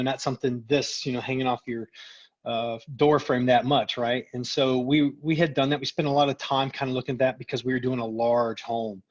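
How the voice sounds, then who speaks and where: A man's voice: medium pitch, highs cut off. A middle-aged man being interviewed as a company executive, chatting casually and often checking in with the listener. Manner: conversational, peppers talk with "you know" and "right?".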